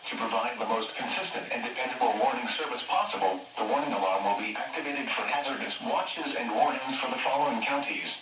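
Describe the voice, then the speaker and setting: A synthetic male announcer reading a scripted public service message in a formal, measured, impersonal way on weather radio. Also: mid-range voice, dull audio. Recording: roomy, noisy weather radio broadcast captured from a distance.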